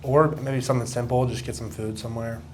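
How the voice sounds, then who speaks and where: A man's voice: medium pitch, level tone, fast talker, a little mellow, slight background noise. A young man in casual conversation as a contestant on a dating show. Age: young adult. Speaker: blind date contestant. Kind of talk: casual chat.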